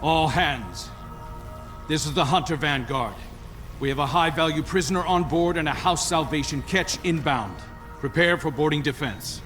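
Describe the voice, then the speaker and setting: A man playing an urgent, high-voiced military commander. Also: normal speed, fairly bright tone, natural voice. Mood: urgent, firm. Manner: urgent, barked orders. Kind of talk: video game action dialogue. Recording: game music and vehicle noise behind.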